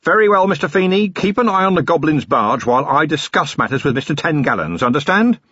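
A male narrator voicing a gruff, tired but commanding city police commander in an audiobook, giving brisk, clipped orders. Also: on the high side, sing-song, fairly bright tone, no echo, dull audio, voice pushed up, heavily acted.

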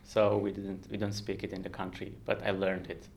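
Low-voiced Iranian man, earnest and halting. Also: completely flat delivery, vocal fry, slight background noise. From Iran, Kurdistan region. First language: Kurdish. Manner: earnest, halting, laughs often. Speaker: guest researcher. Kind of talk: podcast interview.

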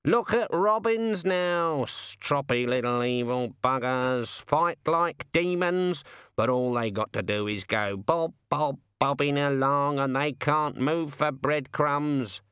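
A man's voice: on the high side, slow, a bit bright, smooth, dry recording, studio quality, pitched above the performer's own voice. A man voicing a grumbling, self-regarding raven with a colloquial, complaining manner in a storybook reading. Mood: aggrieved.

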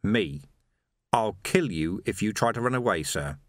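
A hard-bitten, menacing police sergeant, played by a male narrator, with wide swings of pitch and a dry close sound. He is sardonic and deliberate, with a forceful mock courtesy suited to a storybook copper. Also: lively intonation, no echo. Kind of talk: audiobook character voice.

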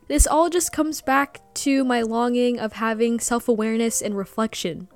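A woman's voice: fairly high pitch, fairly even intonation, moderate pace, no room sound, soft background music. A young woman hosting a personal podcast, speaking earnestly and reflectively about growing up, with Vietnamese as her first language. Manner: earnest, soft-spoken, breaks into giggles at the end. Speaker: podcast host.

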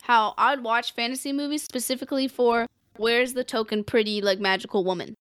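A woman co-hosting a casual anime recap chat, expressive and blunt, swearing freely in slangy talk. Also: on the high side, a little fast, clean tone, dry recording, clean studio sound. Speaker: podcast co-host recapping an anime.

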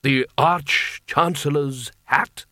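A man's voice: mid-range voice, expressive, moderate pace, a bit bright, no echo, studio quality. A man voicing a pompous, obsequious senior wizard in a fantasy audiobook, hesitant and ingratiating. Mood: ceremonious.

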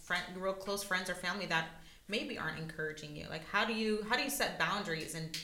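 A woman's voice: lowish voice, fairly quick, sharp tone. A woman hosting an interview, encouraging and affirming her guest. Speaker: podcast host. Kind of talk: casual interview. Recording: echoey room.

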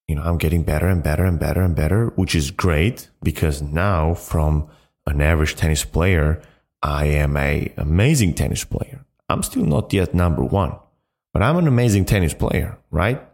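A man with a deep, mellow voice hosts a motivational podcast on his own. His phrasing is halting and emphatic, often circling back to repeat words. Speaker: podcast host. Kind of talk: motivational solo podcast talk.

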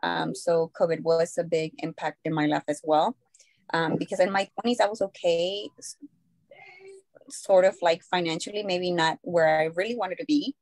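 A woman's voice: fairly low pitch, fairly even intonation, moderate pace, a little mellow, rough recording. A woman in her thirties chatting casually as a guest, telling things in a loose, rambling way. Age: 30s. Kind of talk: casual group chat.

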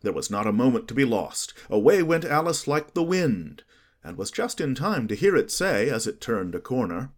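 A man narrating an audiobook in an expressive storytelling manner. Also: medium pitch, moderate pace.

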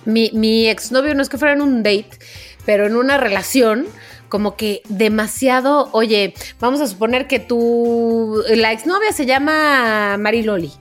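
A woman's voice: on the high side, unhurried, soft background music. A woman with a Mexican accent co-hosting a podcast in casual, friendly-chat style. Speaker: podcast co-host.

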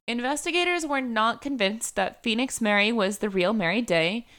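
A woman's voice: a little high, normal speed, fairly bright tone. A young woman hosting a true-crime podcast, telling a case in a casual chatty way, full of "like" and "um" fillers. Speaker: podcast host.

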